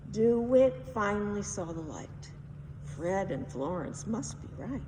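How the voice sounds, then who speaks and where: A woman narrates a children's story dramatically, with very lively pitch and an unrushed pace. The voice sounds distant in an echoey hall, over faint noise. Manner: dramatic, emphatic. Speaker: read-aloud storyteller.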